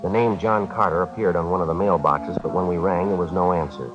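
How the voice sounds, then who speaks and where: A low-pitched American male detective, played by a radio actor, talking briskly in clipped, deadpan phrases. He is terse and relentless, in a 1950s police drama with the dull sound of old radio. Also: lightly acted. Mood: matter-of-fact. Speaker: actor narrating and playing a detective. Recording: dull vintage radio broadcast with music.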